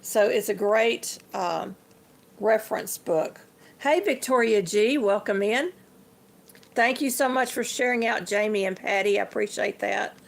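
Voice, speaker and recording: An older woman hosting a casual livestream, slightly hoarse and chatty, talking to her viewers as y'all. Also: mid-range voice, unhurried. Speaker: livestream host. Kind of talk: casual livestream show-and-tell.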